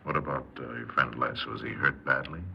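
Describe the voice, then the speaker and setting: An actor playing a calm, probing American interrogator with measured, understated questions in a 1950s radio drama. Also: male voice, very deep voice, gravelly. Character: calm, probing male interrogator taking a confession. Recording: noisy muffled old radio, distant from mic.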